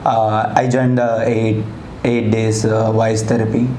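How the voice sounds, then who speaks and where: Low, even-toned Indian man, echoey noisy room. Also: unhurried. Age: 20s. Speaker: client giving a testimonial. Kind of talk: interview answers. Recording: echoey room with background noise.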